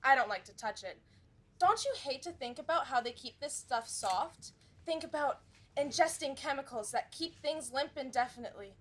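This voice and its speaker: A woman's voice: high-pitched, animated, normal speed, fairly bright tone. A young woman in a school stage play, playing an idealistic actress who lectures at length in a theatrical manner. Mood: wry.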